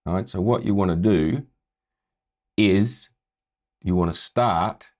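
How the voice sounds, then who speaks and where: A man with a low voice talking casually as a music tutor, walking through a screen-share tutorial and often checking in with "right?". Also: slow pace, a little mellow.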